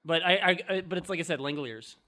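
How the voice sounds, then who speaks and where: A man's voice: on the high side, quick pace, sharp tone. A man co-hosting a film podcast, chatting casually with slangy, joking asides. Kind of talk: casual chat about films.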